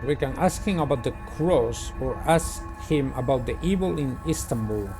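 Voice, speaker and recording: A man's voice: medium pitch, animated, moderate pace. A man hosting a game playthrough, reading a visual novel aloud and adding casual commentary. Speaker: video game player and host. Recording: music playing behind the voice. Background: background music.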